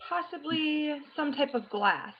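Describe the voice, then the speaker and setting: A woman playing a cool, evasive detective contestant in improv comedy, speaking in a slow, measured, musing way. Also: high-pitched, animated, highs cut off. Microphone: home video call.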